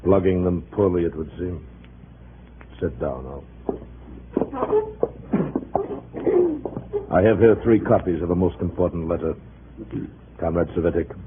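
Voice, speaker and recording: Man playing a very deep, domineering party boss. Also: natural voice. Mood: cold, commanding. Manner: cold, curt, commanding, deliberate. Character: big, stern, domineering communist party boss. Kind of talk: 1950s radio drama acting. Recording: phone-narrow old radio recording, faint noise.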